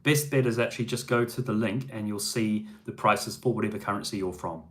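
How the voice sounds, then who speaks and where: A man's voice: fairly low pitch, fairly quick. Easygoing man from New Zealand, chatting. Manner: chatty and easygoing, with frequent ums and restarts. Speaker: musician hosting a livestream. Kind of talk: casual livestream chat answering viewer questions.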